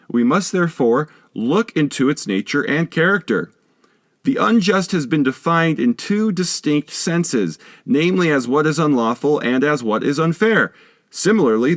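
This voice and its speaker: A man reads a philosophical text aloud as scripted narration. His mid-pitched voice rises and falls a great deal, giving the measured, instructive delivery plenty of shape. The sound is dry and free of room echo. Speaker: audiobook reader.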